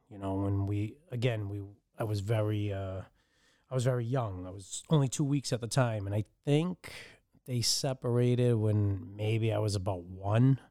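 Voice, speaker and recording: Smooth-voiced middle-aged man telling his story. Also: lowish voice, warm tone, no room sound, studio quality. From Dominican Republic. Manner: halting, with frequent restarts and fillers. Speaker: podcast guest. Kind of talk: personal storytelling.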